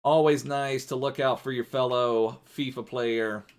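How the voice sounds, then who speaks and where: A man's voice: medium pitch, steady tone, unhurried. A man streaming a football video game live, chatting casually in a rambling way and thanking viewers as he plays.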